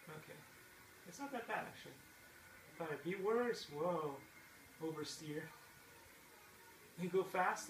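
A middle-aged parent talks casually and patiently, coaching a child through a game with plenty of encouragement. The voice is medium in pitch and comes from far off in an echoey, noisy room. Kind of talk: casual chat while watching gameplay. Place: indoor room at home with a TV. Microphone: distant camera mic.

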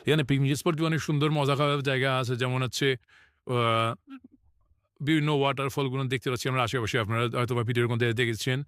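A young male college student from Bangladesh, talking earnestly to camera outdoors and filling pauses with hesitations. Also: mid-range voice, fairly even intonation, a bit bright, smooth, no echo, studio quality. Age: young adult. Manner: earnest, talkative, hesitant with fillers. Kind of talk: casual talk to camera. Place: outdoors in a park.

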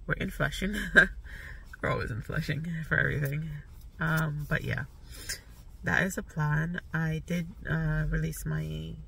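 A woman's voice: low-pitched, gravelly, distant mic, a little hiss or hum. Stuffy-nosed woman chatting in a car. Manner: chatty, rambling, soft-spoken with fillers. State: stuffy nose. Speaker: vlogger. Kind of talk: casual chat to camera. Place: parked car. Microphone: phone camera on dashboard.

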